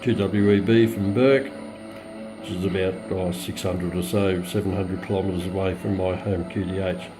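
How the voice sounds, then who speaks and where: An Australian man casually walking through a product in a hesitant, low-key, unscripted way. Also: fairly even intonation, moderate pace, mellow, soft background music. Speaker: radio hobbyist presenting a demonstration. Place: indoors at home, radio on a countertop.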